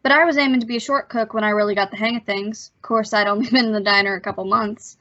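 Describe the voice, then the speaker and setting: A woman's voice: medium pitch, steady tone, fast, pushed and bright, lightly acted. A woman playing a guarded, wisecracking seventeen-year-old diner counterboy in an old-time radio suspense drama, with slangy, clipped delivery. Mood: breezy narrating. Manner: slangy, cagey, clipped answers. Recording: dull, lo-fi audio.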